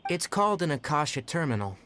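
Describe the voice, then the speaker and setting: A man voicing a composed, astute young forest ranger in a video game, speaking in a measured, matter-of-fact, articulate way. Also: fairly high pitch, sing-song, very noisy. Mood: helpful, explanatory. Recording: background music under the voice.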